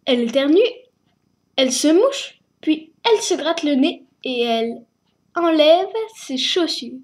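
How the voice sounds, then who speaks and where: Casting: woman storyteller, high voice, slow hesitant reading at home. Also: lively intonation.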